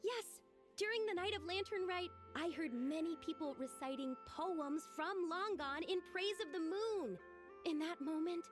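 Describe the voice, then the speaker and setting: High-voiced, earnest young lady. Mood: proud, eager. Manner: expressive, earnest. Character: earnest, dramatic young lady with big ambitions. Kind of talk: video game voice acting.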